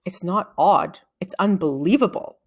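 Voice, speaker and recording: A woman's voice: lowish voice, animated, a little slow, breathy edge. A woman voicing an indignant, suspicious female schoolteacher, emphatic and incredulous, in a storybook.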